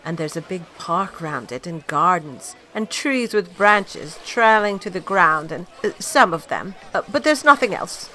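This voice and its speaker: An actress playing a stern, blunt, gossipy middle-aged housekeeper in an amateur audio drama. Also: female voice, on the low side, lively intonation, unhurried, few pauses, pushed and bright, rough recording. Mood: gloomy. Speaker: actor.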